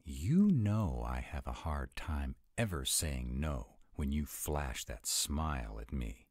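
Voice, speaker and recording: Man acting a very deep, grumpy were-dragon. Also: lively intonation, slow, no room sound, studio quality, heavily acted. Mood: reluctant, exasperated. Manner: grumbling, exasperated, softening into boyish eagerness. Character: grumpy tsundere male were-dragon, gruff but secretly soft. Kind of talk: audio roleplay acting, addressed to the listener.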